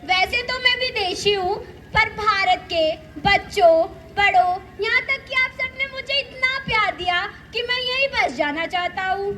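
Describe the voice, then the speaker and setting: A young female performer playing a cute, boastful foreign burger in a school stage play, declaiming loudly. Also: extremely high pitch, unhurried, heavily acted. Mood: boastful, friendly. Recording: noisy live stage recording.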